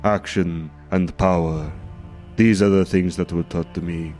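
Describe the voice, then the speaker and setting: A man's voice: sits low, steady tone, unhurried, a little mellow, faint music behind. A man playing a proud, hardened, brooding brother in a tabletop game, stoic yet anguished, speaking in grave, formal, theatrical language. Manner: grave, formal, theatrical speech without contractions.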